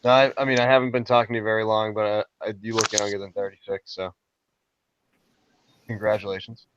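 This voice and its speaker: A man's voice: on the low side, flat delivery, a little fast, noisy background. A man in his thirties joining a group call from a cell phone, easygoing and friendly. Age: 30s. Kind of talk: casual late-night group chat. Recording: dull, dry cell phone audio.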